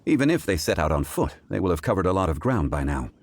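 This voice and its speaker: An actor playing a calm, erudite gentleman investigator in a video game, speaking in a measured, formal way. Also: deep voice, a little hiss or hum. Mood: composed. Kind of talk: video game character acting.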